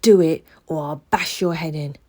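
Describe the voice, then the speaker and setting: A woman voicing a brutal male burglar who snarls loud threats. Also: deep voice, normal speed, close to an everyday voice. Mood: menacing.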